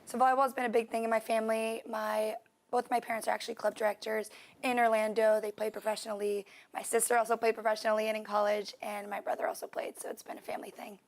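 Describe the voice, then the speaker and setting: Young woman athlete guest, quick talker, a bit high, some gravel in the voice. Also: flat delivery. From Puerto Rico. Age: 20s. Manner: fast, casual, with filler words. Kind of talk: interview answers.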